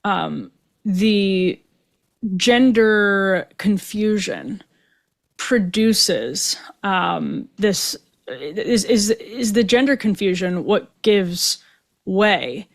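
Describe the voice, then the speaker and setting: A woman's voice: medium pitch, expressive, very slow, creaky. Female podcast host, chatty and thinking aloud, loose, rambling questions. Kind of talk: conversational interview questions.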